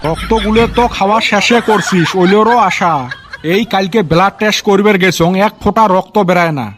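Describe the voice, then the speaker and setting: A man's voice: sits high, fairly quick, background noise, close to an everyday voice. A man with a northern Bangladeshi Rangpuri accent playing a grumbling, sarcastic, hard-up village tea seller in a comedy cartoon. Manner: complaining, sarcastic. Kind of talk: comedy cartoon voice acting in rural dialect.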